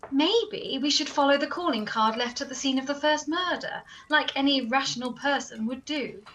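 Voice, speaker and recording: A woman's voice: high-pitched, normal speed, a bit bright, a little hiss or hum, low-quality recording. A woman playing a sensible, chiding assistant to a detective in a comic radio play, prim in manner. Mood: prim, reasonable.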